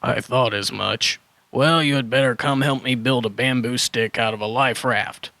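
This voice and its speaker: A man's voice: medium pitch, fairly even intonation, normal speed, gravelly, pushed and bright, no echo. A man playing a flustered dinosaur hermit who muddles his words in a children's audio drama. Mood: matter-of-fact. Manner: flustered word-swapping, correcting himself.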